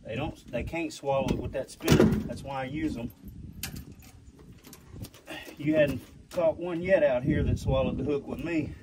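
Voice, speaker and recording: A man's voice: on the high side, expressive, normal speed, vocal fry, long pauses. A young man, coaching in a folksy, easygoing way while fishing outdoors on a boat, recorded on a handheld phone. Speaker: fishing guide and video maker. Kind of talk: casual chat. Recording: loud outdoor noise.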